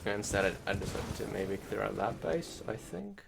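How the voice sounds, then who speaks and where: A man's voice: lowish voice, big pitch swings, moderate pace, creaky, background noise. A man giving casual, rambling gameplay commentary as a voice-over, hesitant, thinking aloud and correcting himself. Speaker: gaming YouTuber. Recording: loud game music behind the voice. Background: loud video game music.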